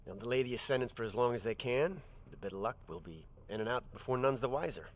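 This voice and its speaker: An actor playing a wry, combative veteran adventurer in a video game cutscene, with dramatic phrasing. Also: male voice, medium pitch, fast talker, slight background noise, lightly acted. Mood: wry urgency.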